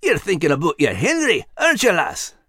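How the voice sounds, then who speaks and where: A man voicing a gruff, loyal male dog with a Scottish accent and plain-spoken, growly delivery in a storybook. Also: sits high, huge pitch swings, a little fast, pushed and bright, dry recording, clean studio sound, pitched above the performer's own voice, heavily acted. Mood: quiet, tender.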